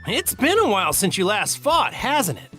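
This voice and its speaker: Bright, cheerful grown male fighter. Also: mid-range voice, wildly swooping pitch, normal speed, faint music behind. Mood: playful, coaxing. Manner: folksy, playful, coaxing. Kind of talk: anime game dubbing.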